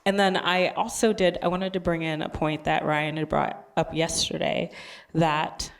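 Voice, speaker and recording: A woman raised between Zambia and the United States talks to an audience. Her voice sits low and crackles with vocal fry. She is earnest and reflective, speaking from personal experience as a panelist. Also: level tone, measured pace.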